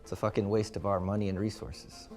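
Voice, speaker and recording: A skeptical businessman played by an actor with a very mellow, dark voice. He is blunt and challenging in film drama style, with music faintly behind him. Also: lowish voice, little pitch movement, fast, close to an everyday voice. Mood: skeptical, irritated. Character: blunt, skeptical, sweary corporate investor.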